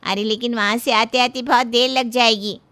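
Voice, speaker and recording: Middle-aged cartoon mom , acted by a woman, sharp bright tone, raised pitch, easily flustered, quick to scold. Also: steady tone, lightly acted. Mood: scolding, worried.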